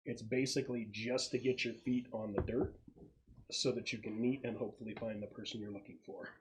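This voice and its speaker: A man running a casual tabletop role-playing game as game master, explaining the setup with halting pauses. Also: medium pitch, fairly even intonation, rough recording.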